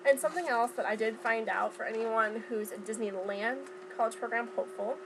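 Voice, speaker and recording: A young woman chatting casually to viewers in a vlog, speaking informally and haltingly as she recalls things. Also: fairly high pitch, noisy background. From Southern California. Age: young adult. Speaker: vlogger. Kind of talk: casual chat vlog, recounting from memory.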